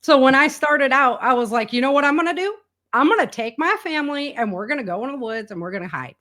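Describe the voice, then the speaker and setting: A woman's voice: sits high, lively intonation, quick pace, sharp tone. A woman speaking as an interview guest in a casual, spontaneous conversation, colloquial and emphatic, voicing other people's remarks as she goes. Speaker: interview guest, author and podcaster on survival prepping.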